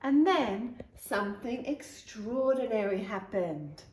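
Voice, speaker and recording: A woman reading a children's picture book aloud in an animated way, with exclamations and asides to young listeners. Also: fairly high pitch, takes it slowly. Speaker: storytime reader. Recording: roomy, echoing room sound.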